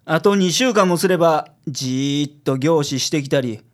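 A man playing a gruff, self-assured private detective with a Kansai accent in a radio drama. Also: a little high, moderate pace, lightly acted. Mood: formal, reassuring. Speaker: cast member of a community radio show.

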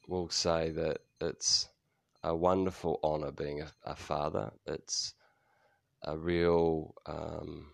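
A man's voice: a bass voice, vocal fry, no echo. A New Zealand man in his fifties hosting a podcast, talking candidly in a rambling way, with many fillers and restarts and frequent swearing. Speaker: podcast host. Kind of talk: casual solo podcast monologue.

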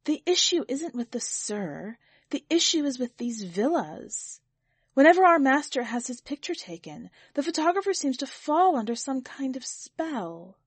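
A woman's voice: high-pitched, very animated, a little slow, breathy edge, dry recording, voice pushed up, heavily acted. A fawning servant in a storybook mansion, put on by a woman reader. The manner is eager, obsequious and reassuring, recorded dry and close. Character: fawning, eager servant flattering his exalted master.

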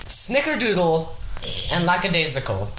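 A young woman with a slightly low voice chats casually as a podcast co-host. Her delivery swings dramatically up and down, playful and theatrical. The amateur recording carries some light noise. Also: wildly swooping pitch, moderate pace, reverberant. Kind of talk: casual group podcast chat.

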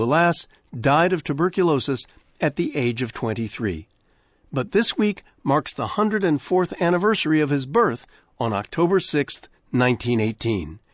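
A man hosting a radio program, reading scripted announcements in a measured announcer style. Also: mid-range voice, dry recording, clean studio sound. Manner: measured, warm announcer delivery with lively phrasing. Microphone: studio microphone.

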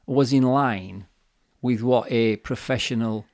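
A man's voice: mid-range voice, slow pace, darkish tone, dry recording, clean studio sound. A man co-hosting a podcast, explaining his views in a fluent, chatty way with acted-out quotes. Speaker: podcast co-host. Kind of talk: casual chat. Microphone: desk microphone.

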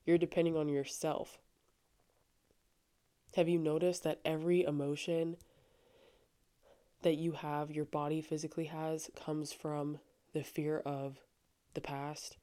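A young adult woman with a low voice talking earnestly and casually on her faith podcast, with frequent fillers. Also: flat delivery, a little slow, long pauses, warm tone, clear, resonant tone. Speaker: podcast host. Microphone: studio microphone.

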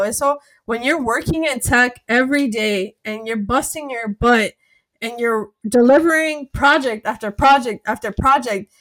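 A bilingual woman with a high, bright voice hosts a casual podcast conversation. She switches freely between Spanish and English and talks in an animated way. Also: poor audio. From United States. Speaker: podcast host. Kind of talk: casual interview chat.

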